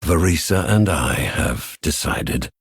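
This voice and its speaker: An actor playing a stern, proud elven lord in a fantasy game, with a rough-edged voice and a cold, weighty, formal delivery. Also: male voice, a bass voice, expressive, slow, no echo, studio quality, heavily acted. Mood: stern resolve. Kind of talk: dramatic fantasy game acting.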